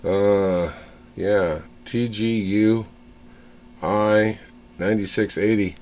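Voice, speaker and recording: A man giving casual, off-the-cuff hands-on commentary in a halting way, as if muttering to himself while he inspects things. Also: lowish voice, steady tone. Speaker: hobbyist vlogger showing old computer hardware. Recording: clear background noise with room ambience.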